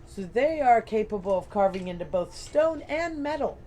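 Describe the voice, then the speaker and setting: A woman's voice: medium pitch, animated, moderate pace, warm tone, a little hiss or hum. A woman running a tabletop game as game master, narrating in a chatty, rambling, self-deprecating way.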